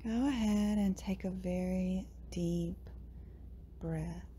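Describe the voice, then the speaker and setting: A woman leading a guided meditation in a soft, breathy, often near-whispered voice, gently soothing, with long pauses between short phrases. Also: fairly low pitch, a little hiss or hum. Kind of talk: guided meditation, slow and hushed.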